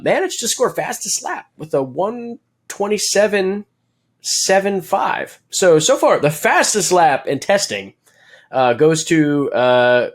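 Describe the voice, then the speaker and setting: Slow-talking rambling male podcast host. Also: fairly high pitch. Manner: rambling, filler-heavy, joking and laughing. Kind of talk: casual race recap chat.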